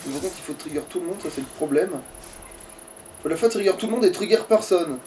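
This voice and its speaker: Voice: mid-range voice, animated, fast talker, darkish tone, recorded from a distance, slight background noise, rough recording. A gamer giving casual, grumbling, sweary commentary while playing a video game.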